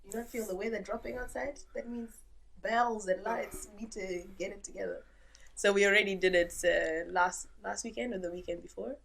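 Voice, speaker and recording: A young woman from Zimbabwe, a guest chatting casually on a podcast, playful and animated as she tells stories. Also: mid-range voice, normal speed, creaky. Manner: animated, playful storyteller with bursts of laughter. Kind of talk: casual chat.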